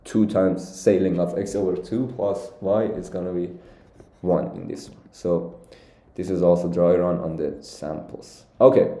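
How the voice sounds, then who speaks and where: A man's voice: sits low, fairly even intonation, measured pace, mellow, reverberant. A young man originally from Iran, walking through contest solutions on a live stream and thinking aloud with many fillers and restarts. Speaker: competitive programming streamer.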